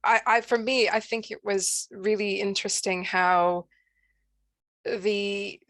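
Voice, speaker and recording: A woman talking informally and reflectively, with hesitant, halting phrasing. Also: mid-range voice, flat delivery, fairly bright tone, no echo. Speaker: poet and co-author at a book launch. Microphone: online video call.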